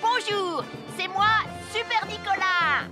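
A woman's voice: very high voice, takes it slowly. A woman voicing a cocky, mischievous young boy in a French cartoon, boastful and dramatic. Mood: proud. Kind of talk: French children's cartoon dubbing. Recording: music behind the voice.

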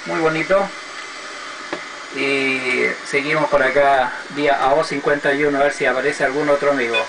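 A man's voice: mid-range voice, steady tone, moderate pace. A middle-aged man chatting casually and giving call signs on amateur radio. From Chile. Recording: noisy amateur radio satellite contact.

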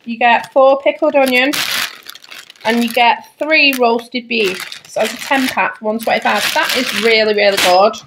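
Woman, British accent, higher-pitched, informal vlog chat, repeats words for emphasis, clear handling and crinkle noise. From Britain. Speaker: family vlogger. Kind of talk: casual grocery haul chat to camera. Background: crinkling packets, clicks, handling noise.

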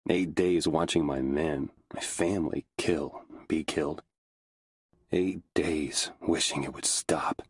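A man playing a weary, haunted American squad leader, narrating a war video game in a brooding, measured, introspective way. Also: rumbling low, steady tone, breathy edge, no echo, studio quality, exaggerated voice. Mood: somber, weary. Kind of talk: somber scripted game narration. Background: music.

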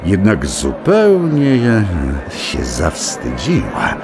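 A man playing a whimsical storyteller, narrating a children's tale theatrically with rhymes and dramatic pauses. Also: on the low side, over loud music, full character voice. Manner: expressive, rhyming, dramatic pauses.

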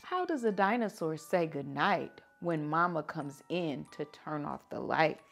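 A woman reading picture books aloud to children like a teacher, with animated, drawn-out emphasis. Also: sits low, creaky, soft background music. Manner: animated, drawn-out emphasis, asking listeners questions. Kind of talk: expressive children's story read-aloud.

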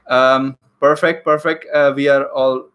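A man's voice: mid-range voice, little pitch movement, unhurried, a bit bright, clean tone, muffled recording. A man in his thirties with an Indian accent, chatting casually with viewers as he teaches a live online English class. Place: small room indoors.

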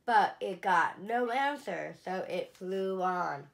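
A woman in her forties reads a children's picture book aloud. She is unhurried and expressive, with a lively, varied pitch and deliberate emphasis. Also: vocal fry. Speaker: children's storyteller at a museum. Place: small indoor room, seated.

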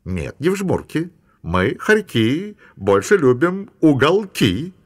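A man voicing a sly, sharp-toothed thieving ferret in a children's audio storybook, speaking in a coaxing, inviting way. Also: fairly high pitch, sing-song, slow pace, voice pushed up, full character voice.

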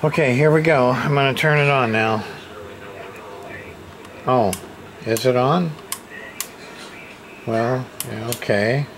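Slow-talking man, halting and noisy recording. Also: mid-range voice. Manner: hesitant, halting, muttering to himself. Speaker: hobbyist radio repair presenter. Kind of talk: casual hands-on demonstration, thinking aloud. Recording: clear background room noise.

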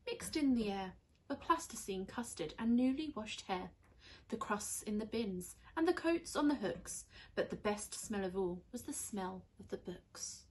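A young woman reads a rhyming children's storybook aloud in an expressive, teacherly way. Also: mid-range voice, a little slow. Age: young adult. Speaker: primary school teacher reading aloud. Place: small indoor room on a sofa.

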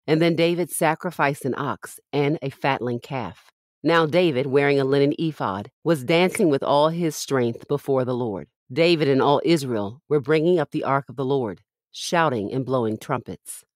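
A woman's voice: a bass voice, darkish tone, no echo, studio quality. A woman reading Bible passages aloud from a script as a podcast host.

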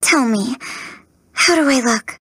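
A woman playing a gentle, romantic young bride princess in a video game, with an earnest, dreamy manner. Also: high voice, takes it slowly, husky, no room sound, clean studio sound, full character voice. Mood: bashful, excited. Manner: earnest, sweet, wistful. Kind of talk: video game character acting.

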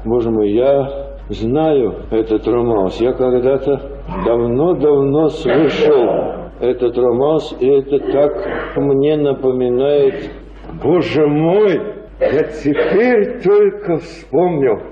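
An actor playing a doddering, sentimental old prince in a Russian radio play, halting, absent-minded and repeating himself. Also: male voice, mid-range voice, echoey room, muffled recording, crowd noise, close to an everyday voice. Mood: wistful rapture.

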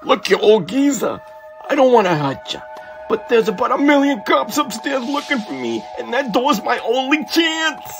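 A man's voice: very high voice, expressive, normal speed, vocal fry, music in the background, voice pushed up, heavily acted. A man voicing a desperate young fugitive who talks tough in street slang. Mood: threatening.

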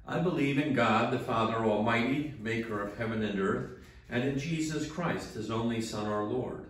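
An older man, a rector, leading morning prayer and reading steadily from a book in a small church chapel, heard from a camera some distance away. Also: mid-range voice. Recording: very echoey room, voice from afar.

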